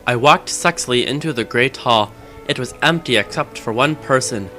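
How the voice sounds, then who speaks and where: A man's voice: mid-range voice, moderate pace, sharp tone. A man giving a theatrical, mock-serious comedic reading of fan fiction on an amateur game microphone. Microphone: Rock Band game microphone. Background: music.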